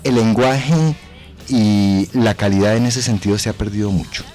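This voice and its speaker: A man's voice: on the low side, moderate pace, warm tone. An older man speaking as a guest actor in a radio interview, explaining things didactically and often checking for agreement. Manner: didactic, explanatory, seeks agreement with tag questions. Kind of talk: thoughtful radio interview talk. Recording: music behind the talk. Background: music.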